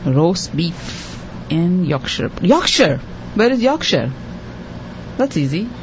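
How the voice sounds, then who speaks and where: A woman hosting a quiz show for children, repeating each question slowly and cheering the contestants on. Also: fairly low pitch, sing-song, highs cut off, a little hiss or hum. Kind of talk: hosting a radio quiz for kids.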